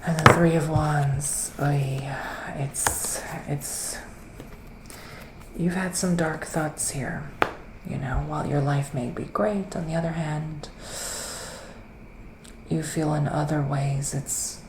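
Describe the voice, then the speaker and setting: Man acting as gentle male witch, ASMR roleplay, unhurried, hesitant pauses, half-whispered, clear background noise. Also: a little high, steady tone, echoey room. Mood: soothing, pensive. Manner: hesitant, drawn-out phrasing with pauses. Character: gentle male witch giving psychic tarot readings. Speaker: ASMR performer. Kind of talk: soft-spoken ASMR roleplay, partly whispered.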